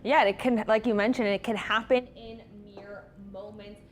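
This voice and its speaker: A woman's voice: medium pitch, steady tone, normal speed, slight background noise. A woman working as a TV news reporter, delivering a scripted report.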